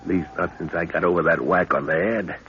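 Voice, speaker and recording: A man acting a big, gruff, blustering middle-aged professor in a 1930s radio adventure. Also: sits low, fairly even intonation, a little fast, a little hiss or hum, lightly acted. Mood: grumbling concern. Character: huge, gruff, hot-tempered older professor leading a jungle expedition. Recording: muffled old 1930s radio recording.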